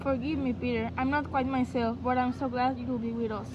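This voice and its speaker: Woman acting earnest wartime woman, high dull-sounding voice. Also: flat delivery, brisk, background noise, close to an everyday voice. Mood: earnest, concerned. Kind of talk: amateur stage dramatic reading.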